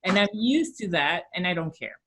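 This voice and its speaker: A woman's voice: fairly low pitch, expressive, normal speed, fairly bright tone, slight background noise. A middle-aged woman from Belgium with a Belgian accent, chatting casually and animatedly as she hosts an interview and shares her own experience. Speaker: podcast host.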